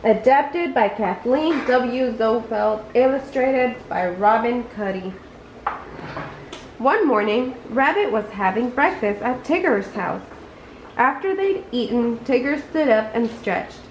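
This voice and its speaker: A woman with a high voice reads a bedtime story in an expressive way, occasionally tripping on a word. The room echoes around her and background noise is clearly audible. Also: slow. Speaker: storybook reader. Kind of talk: read-aloud bedtime story narration.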